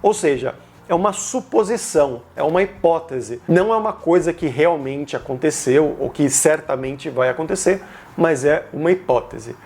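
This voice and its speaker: Higher-pitched Brazilian man teaching. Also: animated, normal speed, a little mellow. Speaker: language teacher, video host. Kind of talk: informal grammar lesson to camera.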